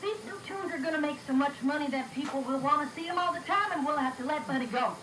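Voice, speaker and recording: A confident young animator played by a woman pleads earnestly in a high voice during an audio story. The recording is echoey, with clear tape noise. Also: fast, fairly bright tone, far from the mic. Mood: eager. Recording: echoey, noisy reel-to-reel tape.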